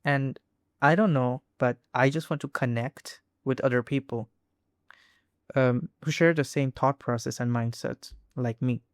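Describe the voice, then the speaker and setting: Halting young man from India. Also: medium pitch, steady tone, dry recording, studio quality. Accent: Indian English. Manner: halting, many restarts and fillers. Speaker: vlogger. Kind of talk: casual personal talk to camera.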